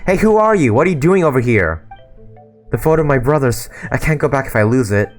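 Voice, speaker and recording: A hoarse man reading aloud the lines of a troubled young female soldier who is searching for her lost brothers. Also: medium pitch, sing-song, a little fast, soft background music, voice pushed up, lightly acted. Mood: distressed. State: hoarse from a sore throat.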